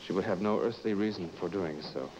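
Casting: man as haunted protective husband, vintage melodrama, lowish voice. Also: brisk, dull audio, lightly acted. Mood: urgent, placating. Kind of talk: old-time broadcast drama acting. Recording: very noisy old broadcast recording.

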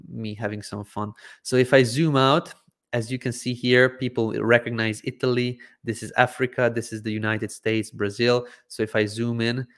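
A man in his thirties from Croatia, native Hungarian, casually answering viewer questions on a livestream with lots of ums, speaking into a desk microphone. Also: mid-range voice. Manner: relaxed, hesitant with frequent ums and "I believe". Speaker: livestream Q&A host. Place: home studio, indoors, seated in gaming chair. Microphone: desk microphone in front of face.

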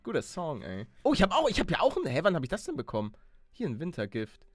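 A man hosting a Let's Play in lively casual banter, playful and excitable, often stammering and repeating words. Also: a little high, fast, slightly rough, dry recording.

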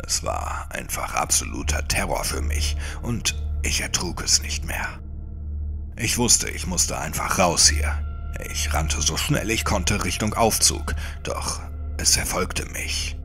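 A man narrating a first-person horror story in a dramatic, brooding audiobook style, often sinking toward a breathy whisper. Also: low-pitched, big pitch swings, slow pace, sharp tone. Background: music.

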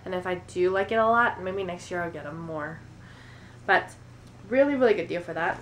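Low-voiced young American woman, slightly congested. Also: moderate pace, a little hiss or hum, low-quality recording. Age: 20s. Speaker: beauty and shopping vlogger. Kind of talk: casual chatty shopping haul to camera. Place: indoor room at home.

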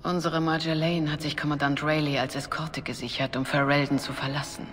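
An actress playing a calm, devout, quietly shrewd woman cleric, speaking formally and with measure in a dubbed video game. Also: low voice, fairly quick. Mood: grave, discreet. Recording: long hall reverb. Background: music.

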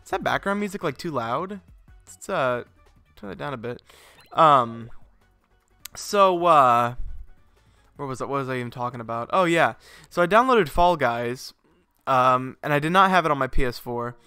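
A man's voice: mid-range voice, lively intonation, moderate pace, pauses often, pushed and bright, clean tone, no echo, faint music behind. A young man streaming games from home, chatting casually with a stuffy, sinusy voice, rambling and swearing freely. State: congested, sinusy. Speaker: game streamer. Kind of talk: casual live-stream chat. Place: home garage setup.

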